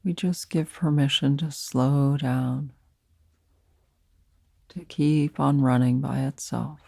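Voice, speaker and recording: A woman leading a guided meditation as a teacher, speaking softly and soothingly in short, unhurried phrases with pauses between them. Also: extremely low pitch, steady tone, gravelly, breathy edge, dry recording, studio quality.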